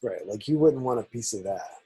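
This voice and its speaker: A man playing a cocky, crude young college jock in an amateur table read, slightly husky. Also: on the low side, animated, brisk, mellow, dry recording. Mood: leering.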